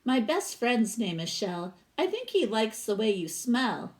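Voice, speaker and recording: Woman voicing an earnest little girl poet, slow and heartfelt. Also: a little high, big pitch swings. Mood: friendly. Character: earnest young girl poet who loves a tree.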